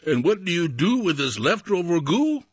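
A man voicing a small, cross old male forest creature who scolds in croaky, sing-song rhymes for a storybook reading. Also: sits high, very animated, normal speed, dry recording, muffled recording, voice pushed up, heavily acted. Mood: indignant.

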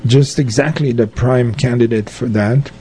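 A man being interviewed on radio, speaking off the cuff and haltingly as he searches for his words. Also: mid-range voice, steady tone, noisy background. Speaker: guest, community radio and arts centre founder. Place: radio station.

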